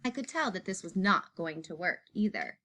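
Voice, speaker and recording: A woman reading a children's picture book aloud in an expressive narrating style. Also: fairly low pitch, moderate pace. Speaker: story reader.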